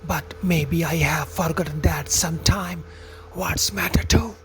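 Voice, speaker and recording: A man voicing a weary, stoic farmer father who speaks tenderly to his son, hushed, slow and earnest, in storybook dialogue. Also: on the high side, a little hiss or hum, pitched below the performer's own voice.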